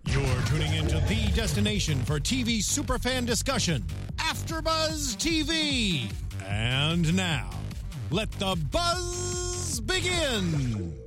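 A show announcer reading a promo tag in a showy, drawn-out way. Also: mid-range voice, huge pitch swings. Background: music.

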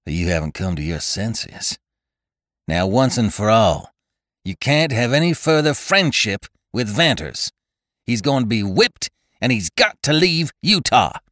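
A man voicing a stern, fanatical male church elder who gives orders in a raised, forceful voice. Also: medium pitch, big pitch swings, measured pace, no echo, studio quality, voice pushed up. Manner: raised, forceful, commanding delivery.

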